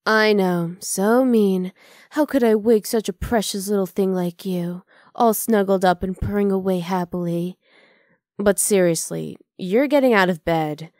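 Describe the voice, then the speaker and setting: A woman's voice: medium pitch, a little slow, darkish tone, dry recording, lightly acted. Close, dry, teasing half-dragon girlfriend voiced by a woman. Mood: coaxing, teasing. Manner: casual, coaxing, affectionate teasing. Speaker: ASMR roleplay voice actor. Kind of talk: ASMR roleplay acting.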